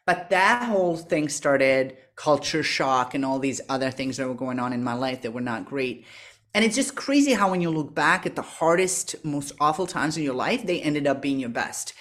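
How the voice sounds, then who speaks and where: A woman in her thirties from Serbia talks as a podcast guest. Her voice sits low. She tells her story candidly and keeps quoting her own past thoughts. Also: normal speed. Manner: candid, animated, frequent "like" and quoted self-talk. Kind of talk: conversational storytelling.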